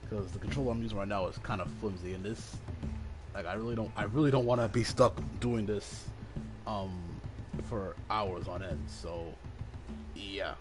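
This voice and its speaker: A man's voice: fairly low pitch, normal speed, over music. A man streaming a video game, chatting casually with viewers, rambling and correcting himself. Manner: relaxed, rambling, with fillers and self-corrections. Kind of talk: casual livestream commentary.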